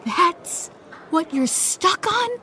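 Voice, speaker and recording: A woman's voice: extremely high pitch, very animated, drawn-out words, pushed and bright, full character voice. A young woman playing a pushy, teasing teenage best friend in a teen drama, speaking in a natural, emphatic way. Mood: earnest, insistent.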